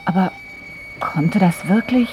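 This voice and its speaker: A woman's voice: lowish voice, lively intonation, takes it slowly, background noise. A woman playing a blunt, exasperated young adult daughter smothered by her father, in radio drama dialogue and narration. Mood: wry, narrating. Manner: curt, blunt. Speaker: actress.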